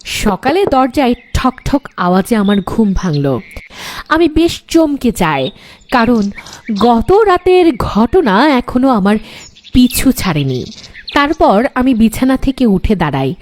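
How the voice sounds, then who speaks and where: A woman's voice: a little high, big pitch swings, slow, a little mellow, slight background noise. A woman voicing a frightened young daughter who narrates a scripted horror cartoon with dramatic delivery. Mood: uneasy, suspenseful.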